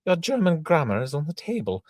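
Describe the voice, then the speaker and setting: A man voicing a prim, stern older female governess in a high fluttery falsetto, reading a play aloud. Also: sing-song, a little fast, a little mellow, no echo, clean studio sound. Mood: prim scolding.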